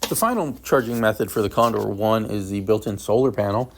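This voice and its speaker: A man's voice: lowish voice, fairly quick, creaky. Matter-of-fact man reviewing a product. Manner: plain, matter-of-fact step-by-step explaining. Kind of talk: explanatory unboxing review narration.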